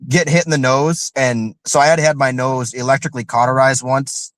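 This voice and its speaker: A man's voice: mid-range voice, steady tone, normal speed, fairly bright tone, dry recording. A man co-hosting a podcast, talking in a loose, rambling way with constant filler words, sounding slightly nasal as he gets over an illness. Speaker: returning co-host. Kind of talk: casual podcast chat.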